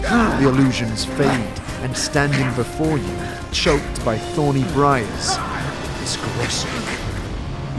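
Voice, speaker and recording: A man narrating a scripted fantasy audio drama in the second person, with a theatrical, vividly descriptive delivery. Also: medium pitch. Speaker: game master and narrator.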